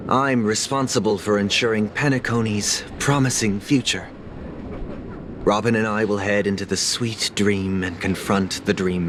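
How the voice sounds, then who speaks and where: An actor playing a composed young family head in a game cutscene, speaking in a measured, formal way. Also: male voice, lowish voice, noisy background, close to an everyday voice. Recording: studio voice over loud music.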